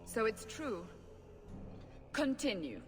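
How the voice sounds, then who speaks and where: An actress playing a stern, skeptical interrogator in a fantasy game, curt and demanding. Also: female voice, on the high side, sing-song, takes it slowly, faint music behind. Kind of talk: fantasy game dramatic acting. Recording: long echoing hall reverb.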